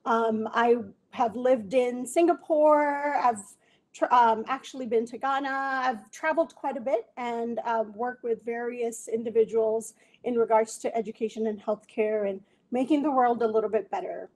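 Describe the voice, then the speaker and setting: A woman from Bangladesh, a tech executive, answering interview questions remotely in a measured way with frequent "um"s. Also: on the high side, steady tone, a little mellow.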